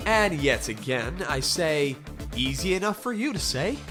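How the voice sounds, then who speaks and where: A woman's voice: sits low, very animated, measured pace. A woman voicing an anxious, wry amnesiac ghost who is determined to help, in a game fandub. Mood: wry, worried. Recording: voice under prominent music.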